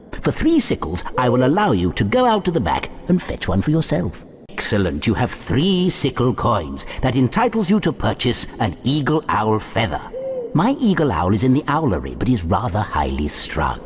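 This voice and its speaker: Hugely animated genteel shopkeeper, echoing. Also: male voice, medium pitch. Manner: genteel, theatrical. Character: genial, courteous older male owl shopkeeper. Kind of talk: scripted game cutscene dialogue. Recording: long hall-like reverb.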